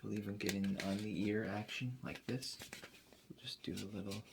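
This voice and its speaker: A man doing an ASMR roleplay as a spa attendant, addressing a client in a hesitant, rambling, unhurried manner. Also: fairly low pitch, steady tone, creaky, noisy background.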